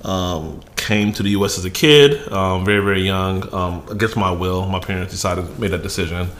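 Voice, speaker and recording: A man in his forties whose first language is Yoruba, raised in Chicago, chatting as a podcast guest in a lively, joking way with many fillers. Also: sits low, normal speed. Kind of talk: casual chat. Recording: echoey room with faint noise.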